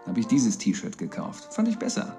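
An actor playing an evasive husband making glib everyday excuses in a radio drama. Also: male voice, medium pitch, huge pitch swings, moderate pace, gravelly, mellow, faint music behind. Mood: casual, evasive.

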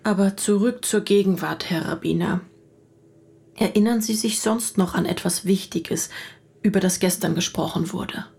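A woman's voice: mid-range voice, normal speed, gravelly, a little mellow, slightly rough. An actress in a radio drama playing a matter-of-fact female police detective who questions probingly. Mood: probing, businesslike.

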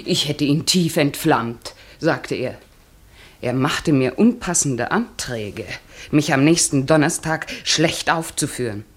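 Low-voiced, haughty outraged lady. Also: slow. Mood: offended. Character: haughty, outraged upper-class lady accuser. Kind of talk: 1960s German radio drama acting.

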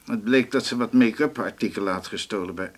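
An actor playing a sensible, patient police officer in a radio drama, reasonable and matter-of-fact. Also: male voice, on the low side, a little fast, natural voice. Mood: businesslike. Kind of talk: naturalistic radio drama acting.

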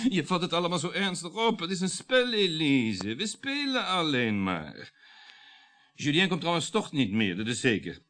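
A domineering husband in a radio drama. He is commanding and mocking, theatrical in his phrasing, with a very animated, swinging pitch. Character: domineering, mocking husband, a surgeon, brushing off guilt. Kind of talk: Dutch radio play acting.

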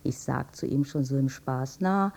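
Husky, resigned older housewife. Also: female voice, extremely low pitch, normal speed, darkish tone, heavily acted. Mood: dry, resigned. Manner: dry, matter-of-fact, resigned. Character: resigned older housewife and mother, drily mocking her husband. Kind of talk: German radio drama acting.

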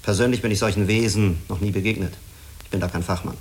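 Man acting male spaceship commander, rather low voice, blunt, skeptical, reserved, questioning, radio drama. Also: steady tone, fast talker, lightly acted. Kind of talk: German radio drama dialogue.

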